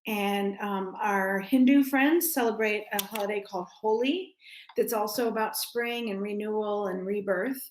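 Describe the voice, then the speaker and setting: A woman's voice: medium pitch, moderate pace. A woman speaking simply to children as a church storyteller at story time. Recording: roomy echo.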